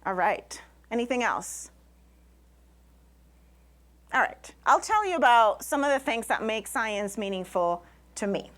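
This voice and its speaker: A woman's voice: a little high, very animated, a little slow, long pauses, fairly bright tone. A woman from Rico, a Spanish speaker, giving a live public presentation in an emphatic way, often listing in short phrases. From Puerto Rico. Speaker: invited speaker, science communicator.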